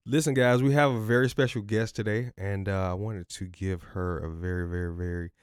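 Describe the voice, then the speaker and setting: A man's voice: on the low side, fairly even intonation, brisk, clean tone, no room sound, clean studio sound. A man hosting a casual podcast interview as a relationship coach, playful and loose, often repeating and stumbling over words.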